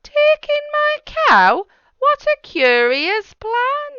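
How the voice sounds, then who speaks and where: A very high, swooping voice of a fretful storybook old lady, acted out by a woman reader. She is theatrical and exclaims in a bright tone. The recording is echoey. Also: sing-song, takes it slowly, muffled recording, heavily acted. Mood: incredulous.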